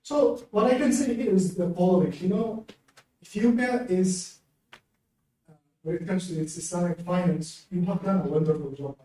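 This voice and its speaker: Male seminar trainer, high-set voice, soft mellow tone, explanatory, frequently checks that listeners follow. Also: moderate pace, poor audio. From Yemen. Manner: checks understanding with frequent "okay?" and "right?". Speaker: trainer and Islamic finance expert.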